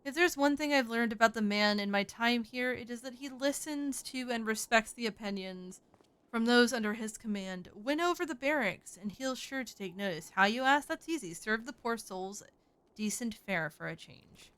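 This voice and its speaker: A woman reading aloud the lines of a gruff, old-fashioned army camp cook from a game, in a near-everyday voice. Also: on the high side, lively intonation, moderate pace, fairly bright tone. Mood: matter-of-fact.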